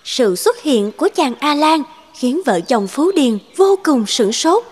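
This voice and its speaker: A woman's voice: high-pitched, sing-song, measured pace, a little mellow. A woman narrator reading a scripted fairy tale.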